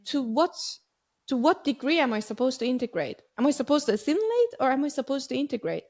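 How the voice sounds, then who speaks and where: A woman podcast host, a native Persian speaker, asking long reflective questions in a soft and personal way. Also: high-pitched, animated, quick pace. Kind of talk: interview questions.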